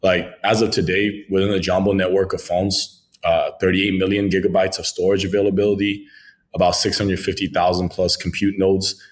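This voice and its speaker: A man's voice: low-pitched, level tone, moderate pace. A man from Congo, a French speaker, answering podcast questions about his crypto company in fluent, jargon-heavy talk. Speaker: crypto company founder, guest. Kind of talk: podcast interview, business pitch.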